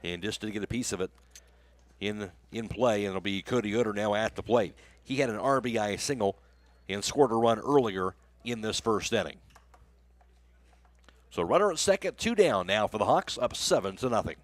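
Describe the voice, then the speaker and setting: A man's voice: lowish voice, lively intonation, normal speed, no room sound. A man doing live play-by-play baseball commentary for a radio broadcast. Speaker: play-by-play announcer.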